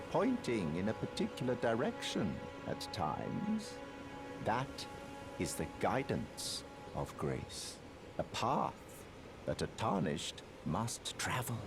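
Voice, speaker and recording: An actor playing a smug, condescending guide in a dark fantasy game, with a sardonic, mock-courteous theatrical drawl. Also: male voice, on the low side, creaky, exaggerated voice. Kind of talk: video game character dialogue.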